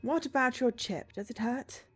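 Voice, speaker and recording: A woman's voice: a little high, normal speed, breathy edge, voice pushed up. A woman voicing an officious company doctor in a visual novel, with music behind. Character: brisk company doctor doing a routine check.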